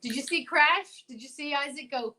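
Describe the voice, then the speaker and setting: A woman with a high-pitched voice co-hosts a radio show. Her banter is casual and lively, with a playful, excitable manner full of exclamations. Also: moderate pace.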